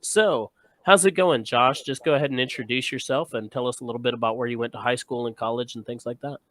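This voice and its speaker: A man's voice: mid-range voice, quick pace, fairly bright tone, dry recording. A man hosting a casual sports interview, speaking in an informal, encouraging way. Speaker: host.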